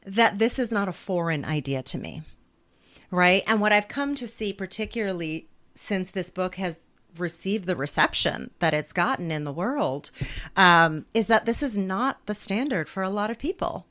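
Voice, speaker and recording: A woman in her forties, an author talking as a guest in a thoughtful, measured way and laughing easily. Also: medium pitch, lively intonation, fairly bright tone. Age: 40s. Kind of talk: reflective interview conversation.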